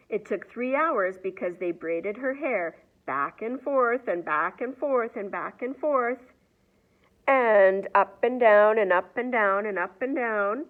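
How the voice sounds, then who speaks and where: A woman reading a children's picture book aloud in an expressive storyteller's manner. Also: medium pitch, narrow, tinny audio. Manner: expressive, asks the young listeners questions.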